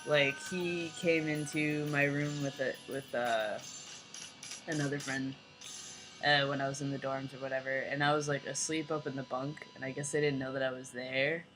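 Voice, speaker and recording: A young man with a light, fairly high voice talking in a rambling, casual vlog, full of "like" and "you know". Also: poor audio. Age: young adult. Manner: rambling, filler-heavy, lots of "like" and "you know". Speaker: vlogger.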